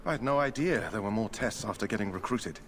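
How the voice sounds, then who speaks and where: A man's voice: fairly low pitch, lively intonation, quick pace, a bit bright, a little hiss or hum. A man voicing an earnest, courteous human knight recruit in a fantasy game, speaking in formal, polite phrases. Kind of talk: scripted fantasy game dialogue.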